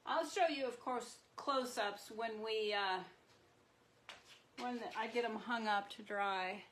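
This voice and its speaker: A middle-aged woman, sniffly from a cold, talks casually through a live craft demo in a somewhat high voice. She chats along and softly exclaims over her work. The room is echoey and carries a faint hiss of background noise. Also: slow. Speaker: marbling artist streaming live.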